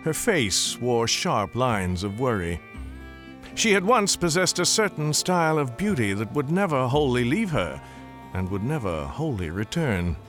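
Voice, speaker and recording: A wry male storyteller of a radio drama Western. His phrasing is measured and literary, his pitch moves very widely, and music plays clearly behind him. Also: big pitch swings, fairly bright tone. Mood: wry storytelling. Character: male storyteller of an old Western Christmas tale, wry and folksy. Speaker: narrator.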